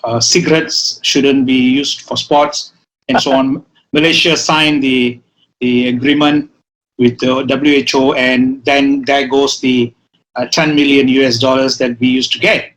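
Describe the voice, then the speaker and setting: A man's voice: medium pitch, little pitch movement, a little slow, fairly bright tone, rough recording. A middle-aged man hosting a talk show interview, asking questions with many fillers and restarts. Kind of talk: casual interview.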